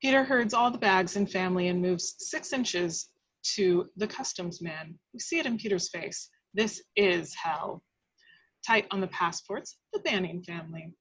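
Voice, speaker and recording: A woman's voice: fairly low pitch, animated, measured pace, a bit bright, husky, poor audio. A woman reading stage directions as the narrator of a script table read, in a dramatic storytelling style.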